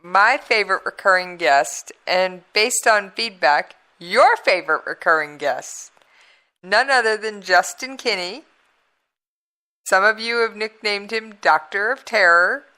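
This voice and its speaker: A woman hosts an internet radio talk show in a chatty, folksy way. Her voice is bright and a little strained because she is losing it. She talks at an unhurried pace. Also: mid-range voice.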